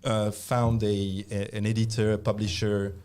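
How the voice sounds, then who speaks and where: A man, a guest who wrote a book, talking in a relaxed, reflective way with frequent fillers. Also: on the low side, moderate pace. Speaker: guest, author and business director. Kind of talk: casual interview talk.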